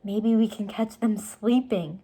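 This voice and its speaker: A woman voicing an eager, bold seven-year-old little sister in a storybook, excitable and teasing. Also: mid-range voice, measured pace, slightly rough, pitched above the performer's own voice, poor audio.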